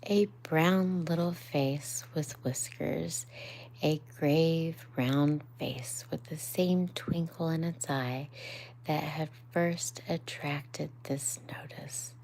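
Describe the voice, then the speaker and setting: A woman reading a bedtime story aloud in a soft, hushed voice that drops almost to a whisper, speaking up for the characters' lines. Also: low-pitched, fairly even intonation, takes it slowly, gravelly, slight background noise, poor audio. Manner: soft, hushed narration, often near a whisper. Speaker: storybook reader on a live stream.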